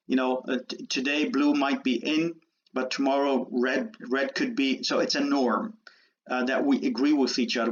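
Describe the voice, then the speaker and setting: A man born and raised in the Netherlands giving a university lecture, halting with many fillers and restarts. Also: medium pitch, fairly bright tone, slight background noise. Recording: dull lo-fi lecture audio.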